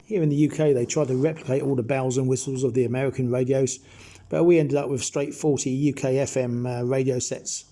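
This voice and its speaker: A middle-aged or older man narrating a nostalgic retrospective in an informal, measured explaining way as a hobbyist presenter. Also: mid-range voice, fairly even intonation, warm tone.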